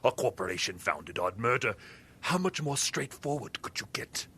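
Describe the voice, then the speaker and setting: A man's voice: mid-range voice, very animated, normal speed, sharp tone, a little hiss or hum. An actor playing a sly, smug crime boss posing as a businessman in an audio drama, pompous and taunting, slipping between murmurs and flourishes. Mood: gloating, sly.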